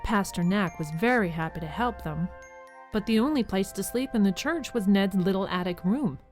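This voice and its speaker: A woman tells a scripted children's story as a radio narrator. Her voice is mid-pitched, and faint music plays behind her. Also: moderate pace, a little mellow.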